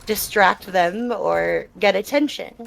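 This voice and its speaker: Creaky-voiced woman gamer in a live Q&A. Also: medium pitch, slow pace, a bit bright. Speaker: gamer being interviewed. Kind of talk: live Q&A, casual personal talk.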